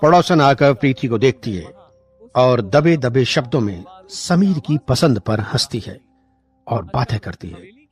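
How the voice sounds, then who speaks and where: A man's voice: mid-range voice, lively intonation, unhurried, slightly rough. Man narrating a story over music. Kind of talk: scripted moral story narration. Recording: music bed behind the voice.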